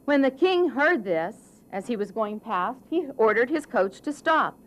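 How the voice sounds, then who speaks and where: A woman reads a children's fairy tale as its narrator. Her voice is on the high side and moves up and down with great animation. The phrasing is expressive and deliberate, in a storybook style.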